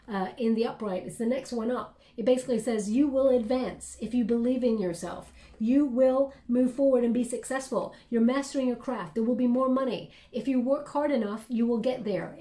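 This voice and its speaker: A woman's voice: a little high, warm tone, roomy sound. A woman giving a tarot reading in an encouraging, reassuring way, with frequent fillers. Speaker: tarot reader.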